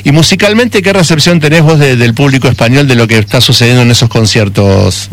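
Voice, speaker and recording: A man's voice: mid-range voice, brisk. A man with an Argentine accent interviewing on the radio in a conversational, rambling and reflective way.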